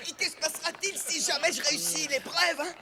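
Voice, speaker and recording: A man's voice: very high voice, fairly even intonation, brisk, a crowd in the background. An actor voicing an eager, naive young man in a French cartoon dub, with a polite manner.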